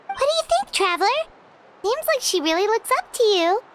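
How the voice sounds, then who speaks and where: A woman's voice: extremely high pitch, big pitch swings, fairly quick, noisy background. A woman voicing a tiny, perky, boastful childlike companion creature in a video game. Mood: curious. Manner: chirpy, boastful, exclamatory. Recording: loud background music under the voice.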